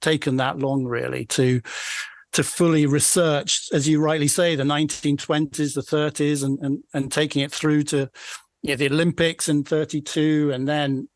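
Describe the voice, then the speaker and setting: A Scottish man in his forties from Aberdeenshire, speaking as a guest author. His voice sits slightly high and he often hesitates, restarting sentences with modest asides. The sound is close and dry. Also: level tone, moderate pace, dry recording. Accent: Scottish, Aberdeenshire Doric. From Aberdeenshire, Scotland. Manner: hesitant, many fillers and restarts, self-deprecating. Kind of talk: casual interview chat.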